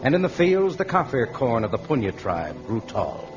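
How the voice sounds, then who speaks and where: Male storyteller: mid-range, lively pitch, old radio narration on a muffled recording with music underneath. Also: normal speed.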